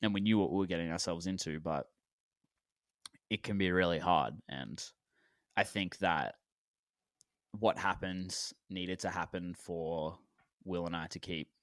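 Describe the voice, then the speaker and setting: A deep-voiced man in his late twenties from Australia, chatting as a guest on a podcast. His voice is smooth and resonant, and the sound is close and dry with no room around it. He rambles easily, trailing off and restarting his sentences. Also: unhurried, long pauses, no echo. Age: 20s. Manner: relaxed and rambling, with many fillers and restarts. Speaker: musician guest. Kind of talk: casual podcast interview.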